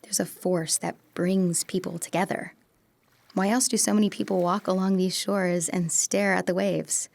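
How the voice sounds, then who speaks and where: A woman playing Marie, a wistful, teasing young woman in an audio drama, with a slightly gravelly voice and soft breathy asides. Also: on the low side, steady tone, moderate pace.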